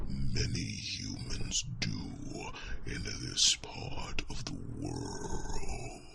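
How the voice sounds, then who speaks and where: Very deep, slow, gravelly dragon. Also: male voice, huge pitch swings, whispered, exaggerated voice. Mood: musing, gently teasing. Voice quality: very deep gravelly rumble, often breathy. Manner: slow, drawn-out, formal phrasing with pensive hums. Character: ancient, wise dragon, fondly teasing companion. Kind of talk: ASMR fantasy roleplay. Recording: clear background noise, ambient sound.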